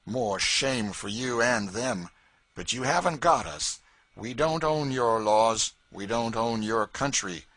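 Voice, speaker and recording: A man reading aloud, voicing a defiant young man who speaks slowly and solemnly with force. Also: medium pitch, animated, dry recording, voice pushed up. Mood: bitter. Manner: slow, solemn, forceful declaiming.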